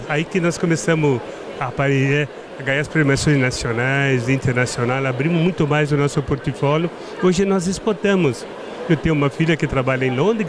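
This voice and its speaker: A middle-aged man from southern Brazil with a strong Italian-tinged accent, speaking as a winemaker guest in a halting way, often restarting his words. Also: on the high side. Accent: Italian-tinged Brazilian Portuguese. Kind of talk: casual interview at a trade fair. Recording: noisy trade fair ambience.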